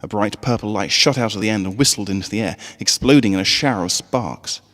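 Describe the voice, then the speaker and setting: A man narrating a scripted story in an expressive, dramatic way. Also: on the low side, brisk, long reverb tail. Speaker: fan fiction reader.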